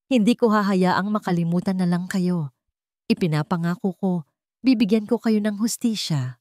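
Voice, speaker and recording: A woman's voice: medium pitch, moderate pace, darkish tone, no room sound, clean studio sound, lightly acted. Woman acting a solemn, grieving young heroine. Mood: tender resolve. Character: grieving, resolute young woman vowing justice.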